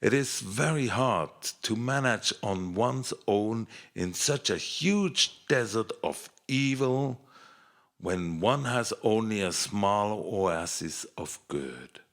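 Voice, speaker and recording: A man narrating a scripted fairy tale in an expressive, measured children's storytelling manner. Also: on the low side.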